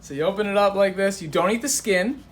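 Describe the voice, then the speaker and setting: A man's voice: high voice, fast, a bit bright. A man giving a casual, instructive and enthusiastic demonstration, explaining things as a guest presenter. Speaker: guest presenting tropical fruits. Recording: roomy echo, clear background noise.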